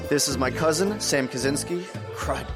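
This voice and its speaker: A man's voice: on the high side, normal speed, over loud music, lightly acted. An actor playing a pushy, volatile young startup founder in an audio drama, with combative salesman patter. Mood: eager, pitching.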